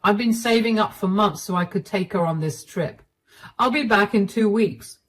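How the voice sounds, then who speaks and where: A woman's voice: mid-range voice, moderate pace, close to an everyday voice. A woman voicing a cold, dismissive middle-aged husband who talks down bluntly. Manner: condescending, blunt.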